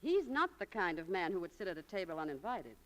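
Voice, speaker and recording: An American actress playing a proud, romantic, high-strung young shopgirl in a 1940s radio drama, with a dramatic, lofty manner. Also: very animated, quick pace, fairly bright tone. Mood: dreamy.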